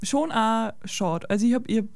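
An Austrian woman with a soft Austrian German accent speaks in an easygoing, agreeable way, often repeating words mid-thought. Her voice sits mellow and dark, captured dry and close with no room sound. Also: on the high side, moderate pace, gravelly, no echo. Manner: conversational, agreeable, repeats words as she searches. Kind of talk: casual conversation.

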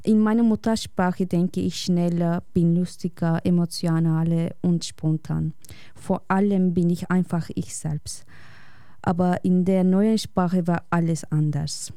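A woman speaking as a radio guest, reading a prepared personal essay in a calm, reflective and measured way. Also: on the low side, smooth, no echo, clean studio sound.

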